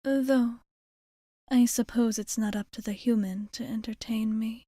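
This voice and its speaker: A woman playing a courtly, doting vampire bartender in a soft-spoken, partly whispered ASMR roleplay, with formal, genteel phrasing. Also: a little high, steady tone, measured pace, no echo, studio quality. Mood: wistful, musing. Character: courtly, doting adult female vampire bartender, long-lived.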